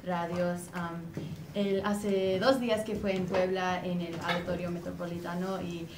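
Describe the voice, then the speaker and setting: Young woman, halting. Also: fairly low pitch, flat delivery. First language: English. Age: young adult. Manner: hesitant, searches for words, many "um"s. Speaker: singer being interviewed. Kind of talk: casual interview answers. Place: dim indoor room. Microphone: far camera. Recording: echoey room, far-off camera mic, clear background noise.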